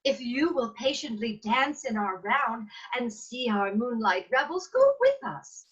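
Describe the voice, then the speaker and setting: A woman playing a proud, defiant fairy queen in a measured, theatrical reading of Shakespearean verse over a video call. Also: fairly high pitch, big pitch swings. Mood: haughty. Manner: theatrical, measured, emphatic delivery. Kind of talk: Shakespearean verse table read. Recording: dull, lo-fi video call audio.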